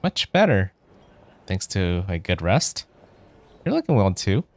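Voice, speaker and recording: A man's voice: low voice, sing-song, a little slow, a little mellow, slight background noise. A man doing a casual game let's play, reading dialogue aloud and adding offhand comments. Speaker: streamer. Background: game music.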